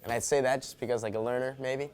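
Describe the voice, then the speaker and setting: A man's voice: medium pitch, steady tone, fast talker, darkish tone. A young man, an athlete, talking casually as he answers in an interview. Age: young adult.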